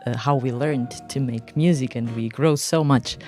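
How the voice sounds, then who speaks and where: A woman's voice: rumbling low, moderate pace, a little mellow, faint music behind. A woman musician whose first language is Portuguese, answering interview questions in a casual, loose way. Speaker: musician guest.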